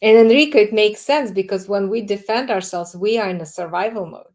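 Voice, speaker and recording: A woman, a guest author answering interview questions in a calm, emphatic, explanatory way. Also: medium pitch, fairly quick.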